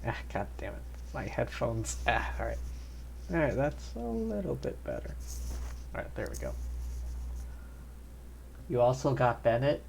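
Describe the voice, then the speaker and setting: A man's voice: mid-range voice, very animated, unhurried, gravelly, long pauses, slight background noise. A man chatting casually as he gives live commentary on a video game stream. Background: game music.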